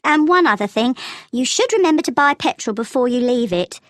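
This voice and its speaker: A high female voice with very animated intonation, recorded close and dry. A woman acting as a helpful travel agent in an exam listening dialogue, explaining details in a clear, measured way. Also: no room sound. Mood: helpful, advising. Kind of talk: scripted language-test dialogue acting.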